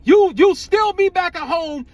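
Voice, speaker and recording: Very high-pitched man, blunt and profane. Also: measured pace, a little mellow, dry recording. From United States. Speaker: commentary host. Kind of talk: casual opinionated commentary.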